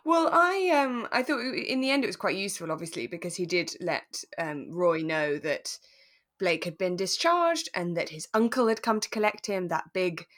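A woman co-hosting a podcast in relaxed, casual conversation. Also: fairly low pitch, normal speed. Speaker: podcast co-host.

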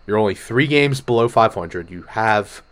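Hoarse low-voiced man hosting sports talk. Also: normal speed. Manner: energetic, profane, jumps between topics. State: hoarse from a cold. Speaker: podcast host. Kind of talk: casual sports betting chat.

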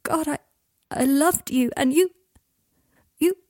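A woman's voice: high voice, slow pace, a little mellow, no room sound, voice pushed up. A woman voicing a heartbroken, proud young man who is hurt and bitter, his voice rising and close to tears. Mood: anguished.

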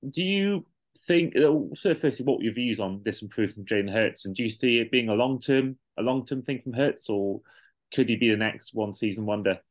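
A man's voice: mid-range voice, a little fast. A man hosting a podcast, talking through sport in a casual discussion style. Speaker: podcast host.

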